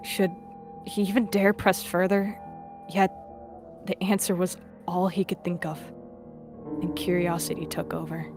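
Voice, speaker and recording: A woman reading roleplay narration aloud in a soft, hesitant way, with faint music behind. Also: fairly low pitch, level tone, a little slow, long pauses.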